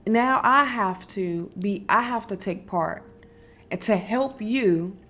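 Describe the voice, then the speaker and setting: Slow-talking woman giving spiritual advice. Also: medium pitch. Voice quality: strong, loud voice. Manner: unhurried, repetitive, emphatic, with fillers and restarts. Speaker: spiritual wellness host. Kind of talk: casual spiritual advice talk.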